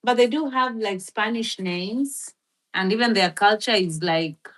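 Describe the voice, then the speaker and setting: A woman's voice: mid-range voice, a little slow, fairly bright tone. A woman chatting casually on a live stream, rambling with lots of fillers as she thinks aloud and reads from a search. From Philippines. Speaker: livestream host.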